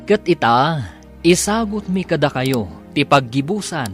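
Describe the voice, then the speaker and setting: A man announcing a radio drama in a measured, formal manner. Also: a little high, lively intonation, fairly bright tone, soft background music. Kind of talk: scripted broadcast announcing.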